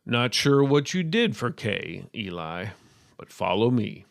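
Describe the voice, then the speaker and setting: A flamboyant, teasing man from a storybook, voiced by a male narrator. He sounds creaky and close, with a playful, knowing amusement in how he speaks. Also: medium pitch, big pitch swings, measured pace, dry recording. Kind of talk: storybook character voice.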